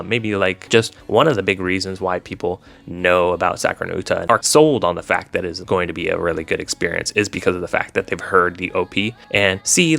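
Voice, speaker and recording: A man's voice: sits low, creaky, fairly bright tone. A man chatting casually as a video host, rambling along in an informal, filler-heavy way. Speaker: YouTube host discussing visual novels.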